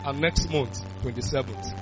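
A man, preaching a sermon with loud bursts of emphasis. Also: medium pitch, moderate pace. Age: 20s. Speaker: pastor. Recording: dull lo-fi sound with music.

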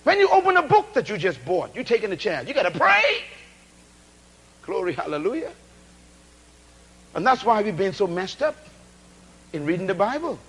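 High-voiced man preaching with emphatic repetition. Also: wildly swooping pitch, moderate pace, creaky, pauses often, husky, poor audio. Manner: call-and-response, rhetorical questions, emphatic repetition; swings between shouted emphasis and low asides. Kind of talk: sermon.

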